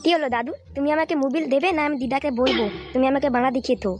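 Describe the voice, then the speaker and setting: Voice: high voice, fairly even intonation, rapid-fire, a crowd in the background, lightly acted. A performer voicing a cheeky, foul-mouthed, crafty little schoolboy in a comedy cartoon. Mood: pestering, sulky.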